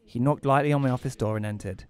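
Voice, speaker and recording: A man playing a bitter, reclusive widower who narrates an audio play in a wry, deadpan way. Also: medium pitch, fast talker, smooth, close to an everyday voice.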